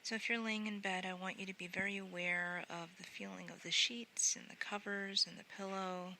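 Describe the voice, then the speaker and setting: A woman guiding a sleep meditation in a gentle, soothing, unhurried way, often softening into breathy near-whispers. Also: medium pitch, level tone, a little hiss or hum. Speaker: meditation guide.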